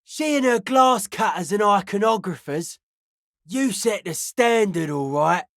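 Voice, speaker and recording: A man's voice: high-pitched, expressive, unhurried, no room sound, studio quality. An actor voicing an intense young male dance-music zealot in a video game, with a clipped, blunt, philosophizing delivery full of slang. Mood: disdainful. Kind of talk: video game character voice acting.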